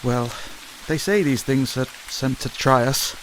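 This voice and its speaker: An actor playing a polite, restrained, bereaved lord in a period audio drama, courteous and hesitant. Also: male voice, medium pitch, measured pace, a bit bright, a little hiss or hum, lightly acted, poor audio. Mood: subdued grief. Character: polite, reserved, bereaved young English lord.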